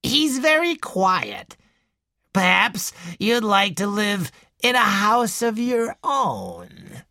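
A man voicing a cruel, domineering elderly mother who talks slowly and menacingly, savoring every word. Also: high voice, huge pitch swings, gravelly, pushed and bright, dry recording, studio quality, pitched above the performer's own voice, full character voice.

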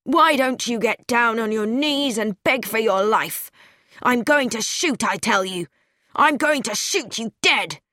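A woman's voice: medium pitch, fairly bright tone, dry recording, clean studio sound. A woman voicing a mad, vengeful young man who rants melodramatically in an audiobook. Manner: ranting, crying out.

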